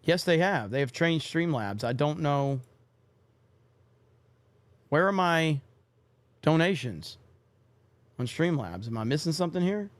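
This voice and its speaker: A man's voice: a little high, animated, unhurried, pauses often, no room sound. A young man hosting a casual livestream, reading out viewer donations and cursing offhandedly. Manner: reads viewer donations aloud, swears casually. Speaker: livestream podcast host.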